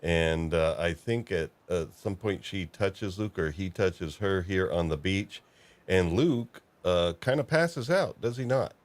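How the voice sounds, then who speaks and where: A man's voice: deep voice, expressive, unhurried. A man hosting a casual discussion, recapping and putting questions to his guest. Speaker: podcast host.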